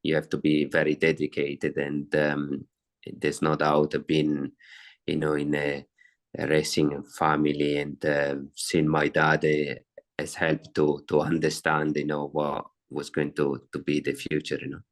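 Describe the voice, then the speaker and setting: A man's voice: extremely low pitch, completely flat delivery, measured pace. A middle-aged man from Italy with an Italian accent, a racehorse trainer answering interview questions with many fillers and restarts.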